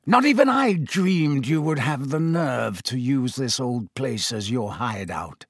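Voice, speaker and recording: A man's voice: mid-range voice, expressive, unhurried, breathy edge, no room sound, clean studio sound. A man voicing a sneering, vengeful schoolteacher with a silky, menacing, contemptuous manner in an audiobook. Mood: gloating. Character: sneering, triumphant, fanatical male schoolteacher bent on vengeance.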